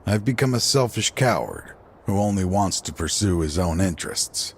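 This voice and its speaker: A man's voice: deep voice, lively intonation, normal speed, slight background noise. An actor playing an aging, world-weary former investigator in a video game, a grieving father speaking in a sighing, confessional manner. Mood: weary, regretful.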